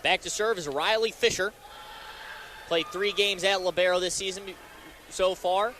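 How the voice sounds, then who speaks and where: A man's voice: high voice, steady tone, moderate pace, background noise. A young man calling live volleyball play-by-play as a radio announcer.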